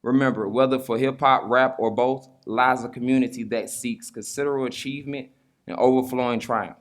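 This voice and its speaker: A man's voice: mid-range voice, fairly even intonation, brisk. A man delivering a formal prepared speech to close a conference talk. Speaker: conference speaker.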